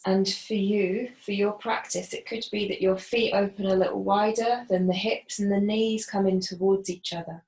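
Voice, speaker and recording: A woman gently guiding a body scan as a yoga facilitator, unhurried and leaving pauses. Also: mid-range voice, steady tone. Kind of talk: guided body scan meditation instruction. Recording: roomy, echoing voice.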